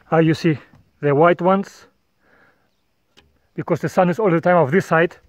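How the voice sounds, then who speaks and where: A man in his thirties gives an unhurried walk-and-talk tour of his farm, filming himself on a handheld phone. He talks in plain, non-native phrasing and chuckles often. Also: fairly high pitch, no room sound. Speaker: farmer vlogger. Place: outdoors on a hillside farm and inside a bird shed. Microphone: phone camera at arm's length.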